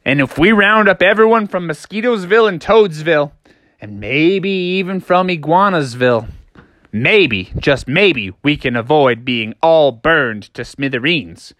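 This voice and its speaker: A man voicing a big, steady Old West sheriff with a folksy, commanding cowboy drawl in a children's story. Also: on the high side, unhurried, dry recording. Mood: resolute.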